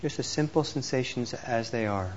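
A man teaching meditation, gently and unhurriedly guiding a group with pauses between instructions. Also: medium pitch. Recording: dull lo-fi audio with clear background noise.

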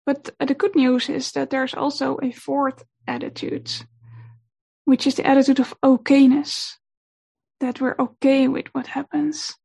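A woman whose first language is Dutch gives a gentle, unhurried talk on meditation, pausing often with fillers. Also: high voice, flat delivery, creaky. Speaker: meditation teacher.

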